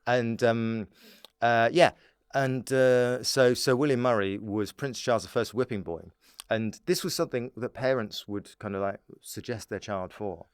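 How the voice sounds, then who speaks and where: A man's voice: mid-range voice, normal speed, clear, resonant tone, no room sound, studio quality. A British man, a stand-up comedian chatting casually and playfully on a podcast, riffing and joking as he goes. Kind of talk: casual comic chat on a podcast.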